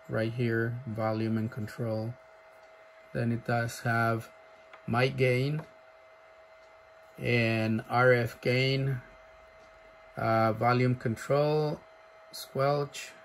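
A man casually showing off a radio he is selling, talking haltingly with many fillers and breaking into loud clipped mic tests. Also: medium pitch, a little hiss or hum, rough recording. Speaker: seller demonstrating a radio. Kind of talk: casual off-the-cuff product demo. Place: indoor workshop bench.

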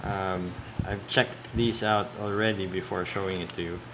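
Guy doing a casual hobby show and tell, lowish voice, hesitant and stop-start, really noisy recording. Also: lo-fi sound. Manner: halting, hesitant, pausing often mid-sentence. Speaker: hobbyist video host. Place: indoors at a tabletop. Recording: steady loud air-conditioning hiss, handling clicks. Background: loud background noise, clicks.

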